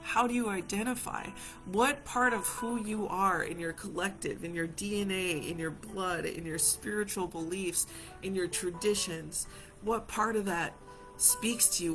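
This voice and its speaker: Reassuring woman over soft guitar music. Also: mid-range voice, vocal fry. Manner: reassuring, repeats phrases for emphasis. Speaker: community healer hosting a live session. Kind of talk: gentle reflective guided talk to viewers.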